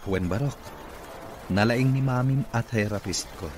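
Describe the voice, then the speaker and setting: An actor with an Ilocano accent playing an earnest, grateful boss who is a recovering patient, in a radio drama. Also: male voice, medium pitch, unhurried, close to an everyday voice. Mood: gentle. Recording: noisy radio drama recording.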